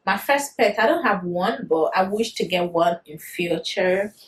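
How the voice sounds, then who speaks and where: A woman in her thirties with a Nigerian accent, chatting to camera in a casual vlog, animated and quick to laugh. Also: medium pitch. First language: Yoruba. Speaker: vlogger.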